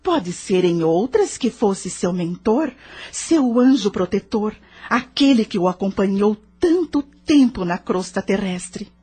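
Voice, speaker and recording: Woman acting a patient, husky spirit mentor. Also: medium pitch, expressive, mellow. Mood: patient, instructive. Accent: Brazilian. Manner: measured, didactic, probing. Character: patient, moralizing female spirit mentor in the afterlife. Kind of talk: audio drama acting.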